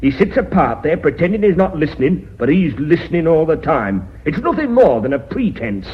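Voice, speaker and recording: An actor in a radio drama playing a hot-tempered, indignant board member who blusters combatively. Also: male voice, on the high side, sing-song, a little fast. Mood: angry. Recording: dull radio recording.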